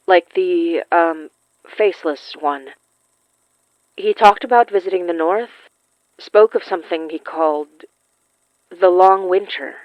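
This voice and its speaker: An actress playing a young woman with magic in a horror audio drama, polite and quietly determined, speaking in a hesitant, dryly teasing way. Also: on the low side, no room sound. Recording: slightly dull, dry studio sound.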